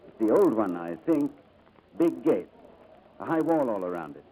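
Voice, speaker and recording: Haunted English gentleman, hesitant, soft-edged tone, old radio recording with slight noise. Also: medium pitch, big pitch swings, poor audio. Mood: dazed, searching. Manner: halting, hesitant. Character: haunted, reserved English gentleman struggling with lost memory. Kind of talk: 1940s radio acting.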